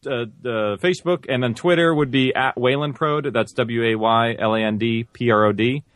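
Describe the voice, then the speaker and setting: A man with a mid-pitched voice in a dry, close recording. He is an interview guest, chatting casually and rambling a little as he explains his creative work. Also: normal speed, a bit bright, dry recording. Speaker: guest, audio-drama creator and producer.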